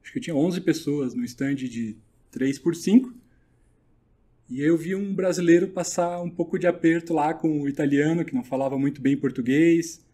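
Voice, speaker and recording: A middle-aged man, telling his story in a relaxed, chatty way as a podcast guest. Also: fairly high pitch, normal speed. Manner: relaxed, chatty, many fillers. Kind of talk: casual interview storytelling.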